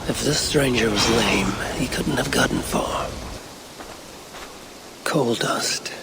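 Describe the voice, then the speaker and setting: An actor playing a brooding Viking clan leader in a video game, measured and solemn, as if murmuring to himself. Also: male voice, medium pitch, very noisy. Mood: brooding, investigative. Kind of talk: video game cutscene acting.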